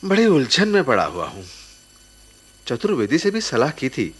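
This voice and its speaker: An actor in a radio drama playing a worried middle-aged uncle, earnest and deferential in manner. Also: male voice, mid-range voice, wildly swooping pitch, moderate pace, breathy edge. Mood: perplexed. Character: worried middle-aged uncle, respectful guardian of his niece.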